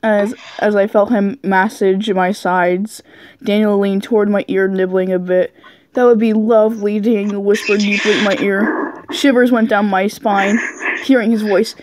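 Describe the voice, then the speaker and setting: A woman's voice: medium pitch, steady tone, normal speed, darkish tone. A giggly woman goofing around as she reads fan fiction aloud, often stumbling over the text.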